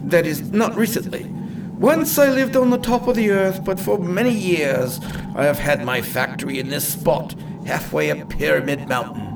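A man's voice: fairly high pitch, moderate pace, vocal fry, far from the mic, background noise. A man playing an eccentric, chatty inventor and salesman in a radio play, courteous and theatrically proud. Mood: proud, salesmanlike. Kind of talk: amateur radio play acting.

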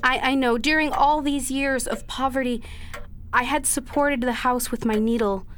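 A woman playing a dutiful, anguished young daughter in a period radio drama, speaking earnestly and haltingly in formal old-fashioned phrases. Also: high voice, fairly even intonation, fairly bright tone, slight background noise.

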